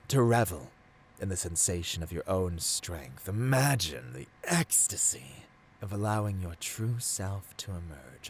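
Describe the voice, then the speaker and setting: A man acts out a tempting male villain in an intimate ASMR roleplay. The voice is low and very slow, swinging widely in pitch with theatrical, coaxing menace and slipping often into whispers. There is some noise behind it. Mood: seductive, hushed. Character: seductive male villain tempting a hero toward corruption. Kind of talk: ASMR roleplay, scripted monologue.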